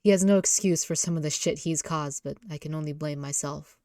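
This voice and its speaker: A brooding young man, acted out by a woman, low-pitched and close in a dry recording. He is battered and guilt-ridden, talking bluntly and wearily like a gruff love interest in a dating game. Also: fairly quick, warm tone, dry recording. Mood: grudging.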